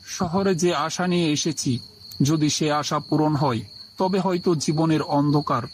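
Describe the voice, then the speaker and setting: A man's voice: fairly high pitch, slight background noise, low-quality recording. A man narrating a scripted first-person horror story in a dramatic, suspenseful way.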